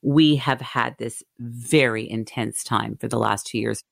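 A middle-aged woman hosting an interview show, asking long set-up questions in a warm, gushing way. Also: extremely low pitch, unhurried, vocal fry, no echo, studio quality. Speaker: radio show host.

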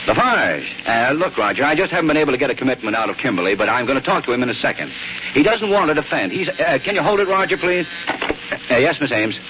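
A man playing a busy, fond but evasive lawyer son in a 1940s radio drama, with a halting, flustered delivery. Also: medium pitch, sing-song, close to an everyday voice. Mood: harried, brisk. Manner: hesitant, halting when flustered. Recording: muffled, noisy 1940s radio recording.

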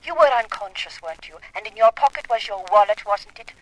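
A woman's voice: mid-range voice, lively intonation, brisk, no echo, natural voice. An actress playing an elegant, coolly menacing woman in a 1950s radio drama, formal and condescending. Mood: cool mockery. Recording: noisy vintage radio drama recording.

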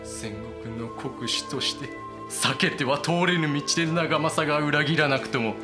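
A man acting as a ruthless, broken feudal Japanese warlord in an audio period drama. He speaks slowly in archaic, lordly phrases with heavy pauses. Prominent music plays underneath. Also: a little high, natural voice. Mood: grim.

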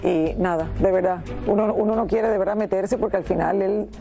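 Gravelly woman co-host, chatty. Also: medium pitch, brisk, warm tone, faint music behind. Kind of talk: casual studio chat.

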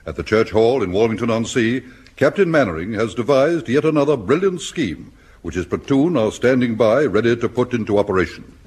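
An English man announcing formally in the style of a wartime radio news bulletin, with a husky voice. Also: medium pitch, lively intonation, brisk, darkish tone. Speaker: announcer and newsreader.

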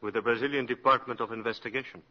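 Man playing stern brazilian investigator, husky hard-edged voice, echoey old radio. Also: medium pitch, steady tone, racing, close to an everyday voice. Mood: stern, formal. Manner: formal, insistent. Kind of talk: 1950s radio acting. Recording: dull, echoing vintage radio recording.

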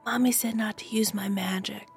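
A creepy little girl coos and coaxes in a high, bouncing, childish sing-song, as a woman puts her on. Sweet on the surface and sinister underneath, she fits a spooky storybook read aloud. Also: lively intonation, moderate pace, a little mellow, soft background music. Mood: gleeful.

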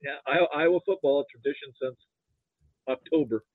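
An American man from Nebraska chatting casually as a sports podcast guest, speaking haltingly over a narrow line. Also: on the high side, no echo. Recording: muffled, narrow phone-like line.

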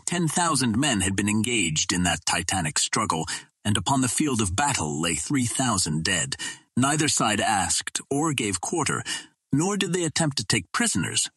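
A man narrates an adventure tale in a low register. His voice is husky and the sound is dry, with scripted, first-person storybook delivery. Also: very animated, moderate pace, a bit bright, dry recording. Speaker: audiobook narrator.

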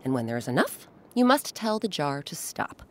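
A woman hosting and narrating a children's folktale in an expressive, dramatic storytelling style with pointed pauses. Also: deep voice. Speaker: host and storyteller. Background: music.

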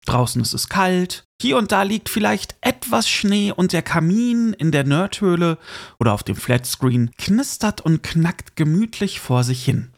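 A man hosts a solo podcast in a gravelly, fry-laden voice that sits a little high for a male speaker. He talks casually and addresses the listener directly, as in an informal chat. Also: expressive, a little slow. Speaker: podcast host. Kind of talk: casual solo podcast monologue with gift tips.

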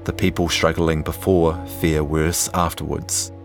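A man narrates a scripted documentary. His delivery is measured and serious, like long-form journalism read aloud. Soft music sits faintly behind him. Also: a bass voice, level tone, vocal fry.

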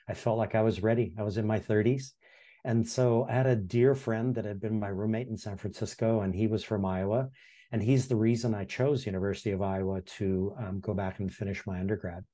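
A man in his sixties from Hawaii, telling his life story in a relaxed, reflective way as a podcast guest, with frequent ums and restarts. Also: on the low side, fairly quick. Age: 60s. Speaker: podcast guest, former history teacher. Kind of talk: casual interview storytelling.

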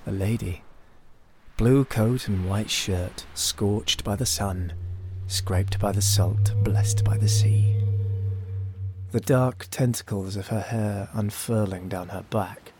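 A man's voice: on the low side, takes it slowly, a little mellow. An actor playing a cunning, disgraced young noble, narrating his own fantasy tale with sardonic, literary bitterness. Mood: wry, brooding. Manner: theatrical, literary, sardonic storytelling. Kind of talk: first-person narration in a high fantasy audio drama.

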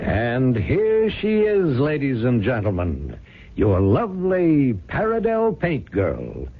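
Radio drama ad announcer: slick, grandiose, husky, very wide intonation, narrow phone-like sound. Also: male voice, medium pitch, wildly swooping pitch, faint music behind, heavily acted. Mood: gushing showmanship. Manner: grandiose, drawn-out salesman delivery. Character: slick, gushing television paint-ad announcer. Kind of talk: 1970s radio drama parody of a TV commercial.

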